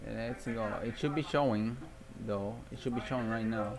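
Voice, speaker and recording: A man's voice: mid-range voice, moderate pace, a crowd in the background. A man hosting a livestream, chatting casually and thinking aloud in a rambling way. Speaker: livestream host. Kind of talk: casual game-stream chatter.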